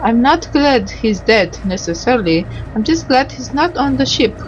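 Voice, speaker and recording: A woman doing a casual game playthrough, reading the on-screen dialogue aloud in a halting, tentative way and sounding tired. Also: a little high, lively intonation, muffled recording, slight background noise.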